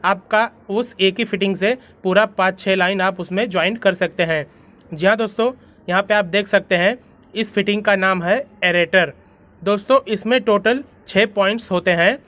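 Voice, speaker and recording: A man's voice: sits high, moderate pace, a bit bright. A young man hosting a plumbing channel, explaining a pipe fitting in a friendly voice-over and often calling viewers his friends. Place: voice-over over animation.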